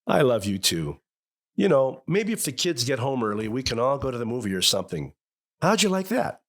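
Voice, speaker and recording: A middle-aged American family father from a 1950s radio comedy. His voice swings widely in pitch as he questions and takes charge, good-natured yet easily exasperated. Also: very animated, a little fast. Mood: affectionate.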